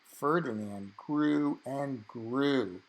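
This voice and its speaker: A man reading a children's story aloud, expressively, with dramatic emphasis and drawn-out phrases. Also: mid-range voice, rough recording.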